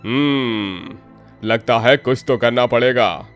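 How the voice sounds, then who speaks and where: A man's voice: mid-range voice, takes it slowly, clear, resonant tone, soft background music, natural voice. Man as a grumbling, greedy village headman. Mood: resentful scheming.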